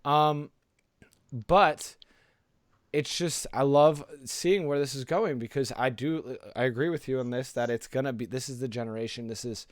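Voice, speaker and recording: A man's voice: mid-range voice, moderate pace, dry recording, clean studio sound. A man co-hosting a casual pop culture podcast, talking in a slangy, rambling, enthusiastic way. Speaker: podcast co-host.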